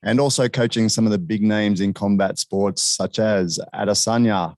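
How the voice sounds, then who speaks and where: A man's voice: fairly low pitch, steady tone, moderate pace, darkish tone, no echo. A man from Sydney with an Australian accent, hosting a casual interview and often tacking on "man". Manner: laid-back, says man and bro a lot. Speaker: interview host.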